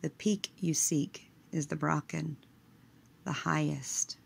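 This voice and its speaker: A woman leading a scripted guided meditation in a measured, hushed manner, letting her phrase endings trail away. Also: low-pitched, steady tone, creaky, slightly rough.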